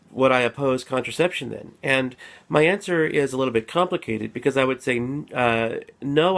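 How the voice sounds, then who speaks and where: A man recovering from a cold, calmly explaining his views in a casual solo video talk, with frequent fillers and restarts. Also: mid-range voice. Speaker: apologetics speaker. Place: at home.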